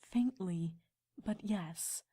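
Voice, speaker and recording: A woman voicing a calm, gracious royal pony mentor in a cartoon audio drama, with gentle, measured phrasing. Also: medium pitch, slightly rough. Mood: thoughtful. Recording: music behind the voice.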